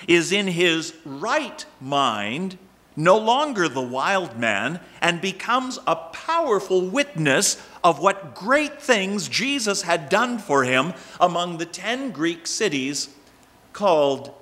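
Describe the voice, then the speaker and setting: Older male pastor, slow deliberate delivery, bright tone, pulpit mic in a church sanctuary. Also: a little high. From Canada. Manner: measured, expressive preaching with emphatic rises. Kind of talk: sermon.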